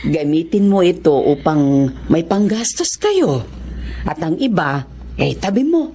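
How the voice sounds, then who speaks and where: A kind, well-off lady in a Filipino radio drama. Her voice is low and very slow, gracious and reassuring, over faint noise. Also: very animated, warm tone. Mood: generous, warm. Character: kind, generous, well-off married lady benefactor.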